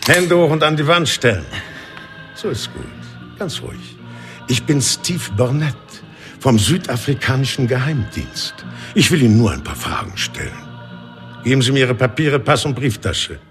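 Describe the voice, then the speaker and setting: Male secret agent, radio drama: animated intonation, falsely polite, taunting, barks short orders. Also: medium pitch, moderate pace, over music. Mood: menacing, mocking. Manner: feigned politeness, taunting, curt commands. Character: smooth, mocking South African secret service agent. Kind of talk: German radio docudrama acting.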